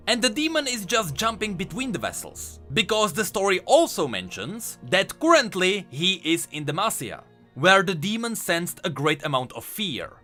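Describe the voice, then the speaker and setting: A man's voice: high-pitched, pushed and bright. A man hosting a scripted explainer, narrating lore in an emphatic, storytelling way. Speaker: video host and lore commentator.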